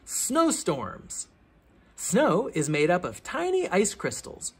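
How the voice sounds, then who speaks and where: A man with a fairly high, husky voice reads aloud for children. His pitch swings in a very lively way, with theatrical emphasis and teacherly, explanatory phrasing. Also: slow pace. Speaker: children's book reader, teacher. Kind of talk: expressive read-aloud of a children's science book.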